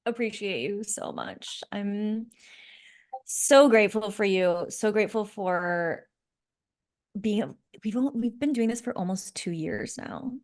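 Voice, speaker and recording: A woman's voice: medium pitch, a little mellow. A woman in her thirties co-hosting a podcast, talking in a bubbly, rambling way with frequent laughs and restarts. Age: 30s. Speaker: podcast co-host. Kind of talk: casual podcast chat. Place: San Diego.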